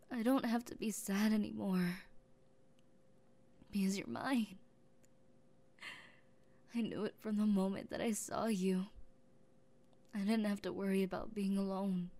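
A lovesick young woman who holds the one she loves captive, tender and possessive all at once, as a woman acts her out in an audio roleplay. She talks very slowly in a mellow, softened tone, halting between phrases and often sinking into a hushed whisper. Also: medium pitch, steady tone. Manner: soft-spoken, halting, drifting into whispers.

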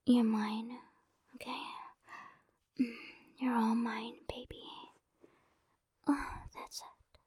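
A woman doing a whispered romantic ASMR roleplay, speaking softly and coaxingly, close to the microphone. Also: a little high, flat delivery, takes it slowly, long pauses, dry recording. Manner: soft breathy whispering, affectionate and coaxing. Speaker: ASMR performer.

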